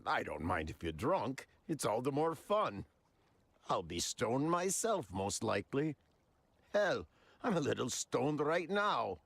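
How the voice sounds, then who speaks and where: Creepy polite mob boss for a game, drawling slowly, swoopy pitch, close dry sound. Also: male voice, very animated, vocal fry, fairly bright tone, slightly rough, no room sound, exaggerated voice. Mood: playful menace. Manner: leisurely, insinuating, mock-polite. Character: smooth-talking, menacing, eccentric male crime boss. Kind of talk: video game dramatic acting.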